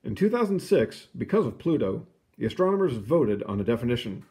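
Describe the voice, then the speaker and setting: A man reading a children's book aloud in an expressive, explanatory way, with casual asides. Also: medium pitch, brisk. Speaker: picture book reader.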